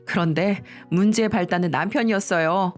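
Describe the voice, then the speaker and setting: A woman's voice: on the low side, fairly quick, faint music behind. A woman reading a scripted first-person confessional story as its narrator.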